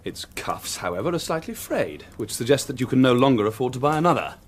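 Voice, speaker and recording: An actor playing a brilliant, impatient young male detective in a period radio drama, brisk, self-assured and well-spoken. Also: medium pitch, sing-song. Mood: coolly confident.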